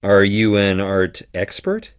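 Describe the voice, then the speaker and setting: A man's voice: fairly low pitch, very animated, slow pace, clear, resonant tone. A man playing a wry, guarded young theatre worker in an adventure game, speaking conversationally. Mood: wry, weary. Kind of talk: scripted adventure game dialogue.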